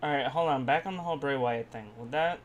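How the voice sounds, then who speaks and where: A man's voice: mid-range voice, fast. A man co-hosting a podcast, talking casually and teasingly with plenty of swearing. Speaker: wrestling podcast co-host. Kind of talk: casual group chat.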